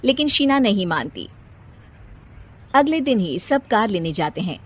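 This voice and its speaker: A woman's voice: mid-range voice, sing-song, unhurried, breathy edge. A woman reading scripted narration for a cartoon story.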